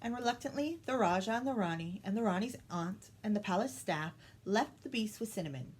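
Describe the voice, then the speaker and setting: A woman's voice: mid-range voice, animated, fairly quick, a little mellow, slightly rough. A woman reading a children's story aloud as a storytime host. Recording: some background noise.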